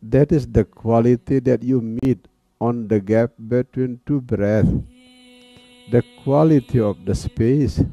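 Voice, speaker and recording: A man teaching guided meditation in a calm manner, leaving long pauses between phrases. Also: mid-range voice, dry recording. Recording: dull, lo-fi sound. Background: soft music.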